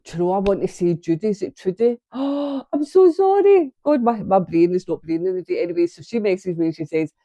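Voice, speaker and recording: A woman's voice: medium pitch, lively intonation, fairly quick, hardly any pauses, warm tone, no echo, highs cut off. A woman chatting casually to camera at home about her knitting, a bit congested from sinus trouble, rambling and breaking off mid-sentence. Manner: chatty, rambling, self-interrupting. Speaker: knitting vlogger. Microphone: camera on a tripod.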